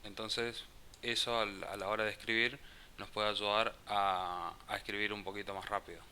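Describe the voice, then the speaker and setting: A man with a low voice and a very bright, forceful tone teaches programming. He talks slowly and haltingly, restarting phrases as he works through code. A faint hiss sits under the voice. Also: little pitch movement. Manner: slow, halting, thinks aloud with restarts. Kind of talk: live coding class explanation.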